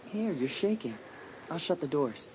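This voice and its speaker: A man's voice: fairly high pitch, sing-song, a little slow. An actor playing a confident, teasing, sporty teenage boy with a romantic streak in a dramatised audiobook. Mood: earnest, eager. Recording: audiobook tape with faint noise.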